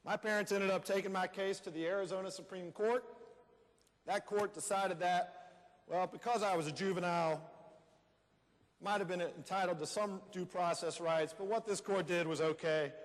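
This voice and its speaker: A man's voice: sits high, steady tone, moderate pace, close to an everyday voice. A man with an American accent playing a plainspoken man who recounts his wrongful jailing as a teenager in a readers theater monologue. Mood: vindicated. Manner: conversational storytelling with emphatic short phrases. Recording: reverberant hall.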